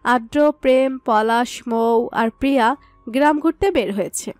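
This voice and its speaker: A woman narrator reads a scripted romantic story in a very high voice. The recording is dry, with soft music behind her. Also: moderate pace, dry recording. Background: background music.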